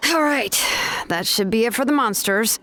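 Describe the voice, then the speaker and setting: A woman playing a cool, capable young warrior in a video game, calm and matter-of-fact. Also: fairly high pitch, moderate pace, noisy background, natural voice.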